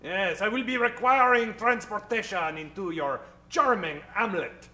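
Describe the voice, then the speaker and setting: A man playing a pompous, secretive traveler in an old-time radio comedy, speaking formally and haltingly. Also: high voice, pushed and bright, roomy sound. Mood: grand, formal.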